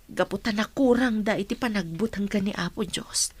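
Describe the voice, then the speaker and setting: A woman's voice: medium pitch, moderate pace, husky, lightly acted. A woman playing a caring, troubled working mother in radio drama dialogue.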